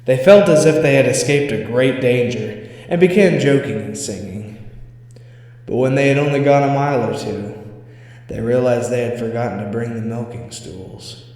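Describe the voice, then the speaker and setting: A man reads a scripted scary tale as its narrator. His pitch is average for a male voice. The recording is very echoey, with a long hall reverb around every phrase. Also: moderate pace, a little mellow. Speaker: story narrator. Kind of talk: scripted spooky story reading.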